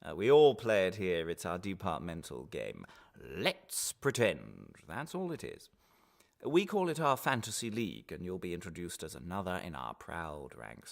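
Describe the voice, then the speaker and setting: Man playing insinuating interrogator, swinging pitch and whispers. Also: vocal fry, heavily acted. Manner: insinuating, falsely friendly, halting, dropping into whispers. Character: smooth menacing male official interrogating a detainee. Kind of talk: one-man radio drama monologue.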